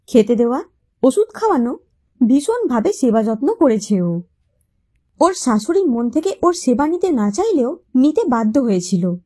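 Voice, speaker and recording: High, mellow woman storyteller. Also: husky. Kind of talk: emotive scripted story narration.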